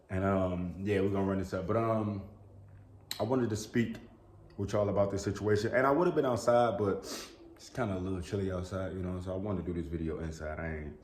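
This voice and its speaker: A man's voice: low-pitched, moderate pace, long pauses, reverberant, a little hiss or hum. A man, talking earnestly to camera indoors, repeating "bro", a little sick with sneezes. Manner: earnest and preachy, repeats "you know what I'm saying" and "bro". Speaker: YouTuber. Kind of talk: casual talk to camera.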